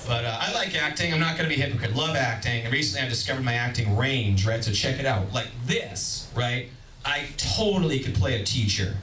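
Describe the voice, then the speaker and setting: A man doing a stand-up comedy set in a club, self-deprecating and breaking into shouty bursts. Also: mid-range voice, expressive, brisk, background noise. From Boston, Massachusetts. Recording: echoey club from far off. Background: audience laughter.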